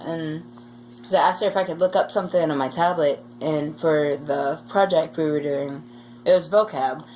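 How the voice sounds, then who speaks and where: A woman's voice: deep voice, normal speed, darkish tone, rough recording. A young woman rambling through casual stories with a playfully dramatic streak. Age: teen or young adult. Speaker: vlogger telling school stories. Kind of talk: casual storytime chat. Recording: faint background noise.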